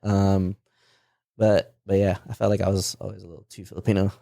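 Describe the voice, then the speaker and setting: A man's voice: sits low, level tone, fairly quick, gravelly, mellow. A man co-hosting a podcast, chatting casually with lots of fillers and slang. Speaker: podcast co-host. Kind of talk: casual chat.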